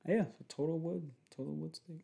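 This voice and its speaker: A young man speaking in a casual, slangy, halting way in an ASMR boyfriend roleplay. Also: fairly high pitch, fairly even intonation, gravelly, dark tone. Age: young adult. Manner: slangy, laid-back, halting with repeated phrases. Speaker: ASMR creator playing a protective boyfriend. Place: small home room, indoors.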